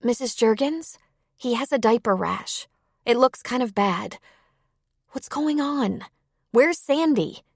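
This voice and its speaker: A woman's voice: fairly high pitch, expressive, takes it slowly, vocal fry, pauses often, no room sound, clean studio sound, voice pushed up, full character voice. Woman playing an anxious, urgent younger woman. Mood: shocked, concerned. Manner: worried, earnest, urgent. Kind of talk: audiobook character dialogue.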